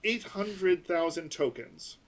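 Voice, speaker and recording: Guy cohosting a dev podcast, kinda high voice, walks thru numbers slow. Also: expressive. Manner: explanatory, breaks numbers into slow pieces. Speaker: podcast co-host. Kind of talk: casual tech chat.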